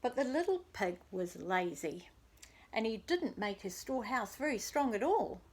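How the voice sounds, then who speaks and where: A woman storyteller reading a children's tale expressively and deliberately, as if to young listeners. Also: a little high, big pitch swings, a little mellow, breathy edge. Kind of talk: scripted children's story narration.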